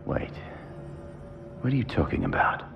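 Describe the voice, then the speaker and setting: An actor playing a grave, wary scientist in a video game, gravelly and articulate, with an ominous, deliberate delivery. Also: male voice, muffled recording, lightly acted. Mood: wary, intense. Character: grave male scientist, wary asylum-held former monster. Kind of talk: scripted video game acting. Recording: music behind, at times echoey and distant.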